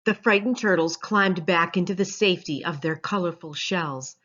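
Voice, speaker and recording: A woman reading a children's storybook aloud as its author, in an expressive storytelling style. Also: lowish voice, moderate pace. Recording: dull lo-fi sound.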